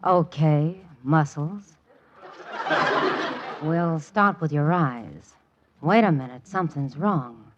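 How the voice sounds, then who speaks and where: A woman's voice: low-pitched, slow, pauses often. A woman playing a sultry, wisecracking, brassy lady doctor in a 1940s radio comedy. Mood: wry. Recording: dull, noisy, clicking 1940s radio recording.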